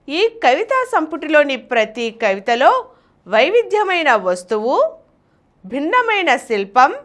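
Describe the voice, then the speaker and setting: High-pitched, expressive woman presenter. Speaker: channel host and presenter. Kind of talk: scripted literary review narration with recited verse.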